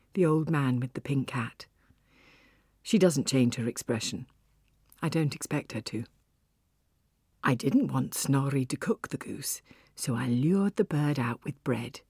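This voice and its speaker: An actress playing a weary, wry woman cafe owner, telling her story in an audiobook with an expressive, breathy, conversational delivery. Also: extremely low pitch, no echo, studio quality, full character voice. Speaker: audiobook narrator. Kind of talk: first-person dramatic audiobook narration.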